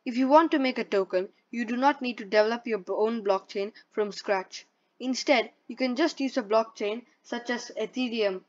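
A woman's voice: on the high side, moderate pace. A woman presenting a step-by-step tutorial in a plain, instructional way, reading from a script. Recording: dull lo-fi voice-over.

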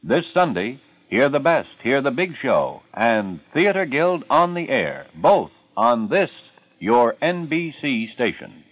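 A man's voice: mid-range voice, sing-song, takes it slowly, few pauses, no room sound. Dry-sounding 1950s male radio announcer. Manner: polished, emphatic sales delivery. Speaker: network announcer. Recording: dry, muffled old radio recording.

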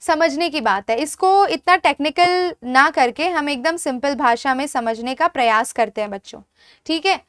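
Young woman, Indian accent, high voice, dry close sound, teacher explaining step by step, bilingual delivery, frequent check-in questions. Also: fairly bright tone, dry recording. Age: young adult. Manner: addresses students affectionately, checks understanding often; switches between Hindi and English, dictating slowly. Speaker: chemistry teacher. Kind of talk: online lecture, explaining step by step. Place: indoor studio, seated before a digital board.

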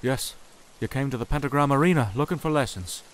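A man playing a defensive demon thug, blunt and halting, in an audio comic dub. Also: mid-range voice, close to an everyday voice. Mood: cold. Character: gruff, defensive male demon thug, famous ex-fighter. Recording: background music. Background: music.

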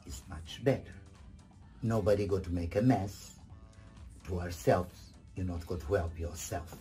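A middle-aged man giving etiquette advice in a husky voice, theatrical and emphatic, often repeating phrases. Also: low-pitched, a little slow, dark tone, faint music behind. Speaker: etiquette host. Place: furnished sitting room indoors.

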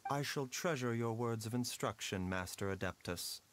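A man's voice: mid-range voice, background noise, lightly acted. A man voicing a courteous, formal pharmacist doctor in a game. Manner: polite, formal, measured. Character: courteous, smooth-spoken male pharmacist doctor.

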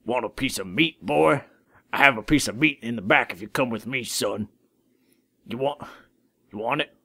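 A man's voice: mid-range voice, normal speed, creaky, pushed and bright, husky, full character voice. A man voicing a sleazy, menacing villain in an amateur cartoon parody, taunting and sarcastic. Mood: creepy, coaxing.

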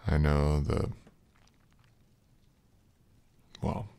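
Very deep, gravelly, breathy boyfriend played by a man. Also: warm tone, no echo, full character voice. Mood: tender, reassuring. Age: young adult. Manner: breathy, murmured, slow and soothing. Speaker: audio roleplay voice actor. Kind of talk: intimate boyfriend ASMR roleplay.

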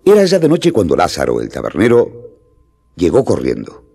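An actor narrating as a male disciple, telling the story calmly in a radio drama. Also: sits low, normal speed, gravelly, husky, heavily acted. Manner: calm storytelling.

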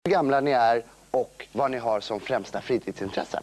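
A man's voice: medium pitch, a little mellow. A young man hosting a children's TV interview in a casual, playful, joking way, speaking into a handheld microphone in a studio. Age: young adult. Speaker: TV host.

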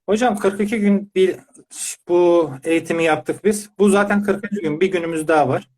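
A man's voice: high voice, fairly even intonation, normal speed, a little mellow. A man speaking as a parent, giving a conversational testimonial in an interview.

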